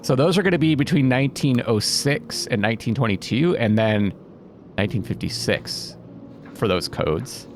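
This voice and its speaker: A man's voice: medium pitch, lively intonation, moderate pace, slight background noise. A man giving casual gaming commentary, thinking aloud, questioning himself and reading out bits of on-screen text. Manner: musing, often questioning himself. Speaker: let's play host. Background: game music.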